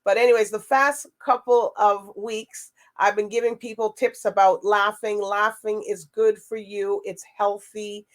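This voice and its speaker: A woman's voice: medium pitch, steady tone, a little slow, hardly any pauses. A woman hosting a talk show in a casual, chatty and wordy way. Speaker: show host.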